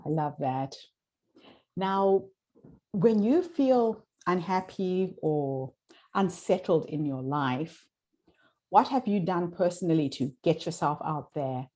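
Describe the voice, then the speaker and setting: Woman, Shona speaker, interview host, encouraging, measured, lowish voice. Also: expressive, warm tone. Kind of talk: interview hosting.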